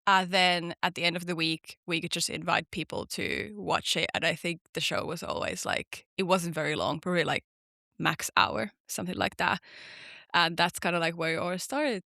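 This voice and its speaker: A woman's voice: fairly low pitch, moderate pace, creaky, dry recording, clean studio sound. A young woman from Finland, a podcast guest and actor, chatting casually with lots of "like" fillers. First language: Finnish. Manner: chatty, many "like" fillers, lively storytelling. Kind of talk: casual interview chat.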